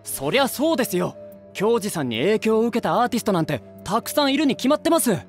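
A male actor playing a lively, frank young band singer in an anime voice drama, excitable and casually polite. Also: high-pitched, fast talker, faint music behind.

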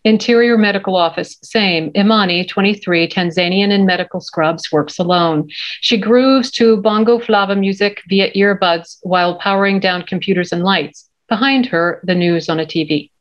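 A woman's voice: medium pitch, normal speed. A middle-aged woman reading screenplay stage directions as narrator on a video call. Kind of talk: scripted narration at a table read. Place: indoor home room, video call. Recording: dull video-call audio.

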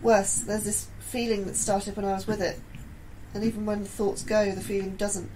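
A woman playing an anxious, earnest astrobiologist, halting and tense, in a sci-fi horror audio drama. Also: mid-range voice, level tone, a little mellow, slight background noise, lightly acted, rough recording. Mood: troubled.

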